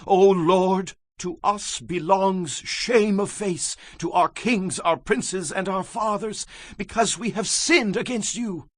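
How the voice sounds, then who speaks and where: An anguished, husky-voiced prophet at prayer. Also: male voice, high voice, slow, no room sound. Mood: anguished pleading. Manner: impassioned, solemn, emphatic. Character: anguished Hebrew prophet, fervently pleading with God in prayer. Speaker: actor in a dramatized audio Bible. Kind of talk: dramatized scripture reading.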